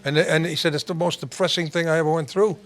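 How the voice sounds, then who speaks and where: Male host, upper-middle pitch, loose repetitive chat with laughs. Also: fairly even intonation, fast. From New York. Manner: laughs often, repeats himself. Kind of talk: casual interview chat.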